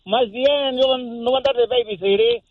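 A man's voice: very high-pitched, normal speed, over a noisy crowd. A man in his thirties with a Mexican accent talking casually and colloquially on a phone call. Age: 30s. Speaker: prank call target. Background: music.

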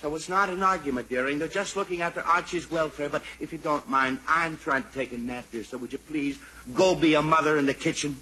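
A man's voice: a little high, brisk, hardly any pauses, pushed and bright. An actor playing an exasperated, weary middle-aged father, sighing and long-suffering, in an old-time radio sitcom. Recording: old noisy radio broadcast.

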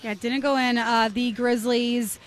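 High-voiced woman, slightly raspy. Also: steady tone, normal speed. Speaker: courtside sports reporter and host. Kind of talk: postgame broadcast recap. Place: courtside at an arena.

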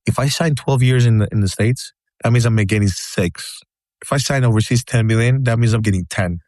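Greek-accented man, animated podcast talk, repeats for emphasis, dry close sound. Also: mid-range voice, a little fast, no room sound. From Greece. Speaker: podcast co-host. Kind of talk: casual chat.

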